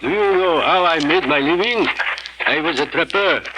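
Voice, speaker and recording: An actor playing a gentle, soft-spoken old French Canadian man, a former fur trapper, in an old-time radio drama. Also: on the high side, normal speed, lightly acted. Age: older. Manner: soft-spoken, courteous, peppers speech with "monsieur". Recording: hissy old radio broadcast recording.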